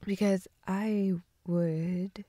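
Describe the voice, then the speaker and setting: A woman's voice: medium pitch, steady tone, drawn-out words, warm tone, no room sound, studio quality. A woman playing a playful, nervous young woman in an intimate romantic audio roleplay, hesitant and stammering, with a teasing, giggly edge. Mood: shy, hopeful. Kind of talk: intimate romantic audio roleplay, naturalistic improvised-sounding dialogue.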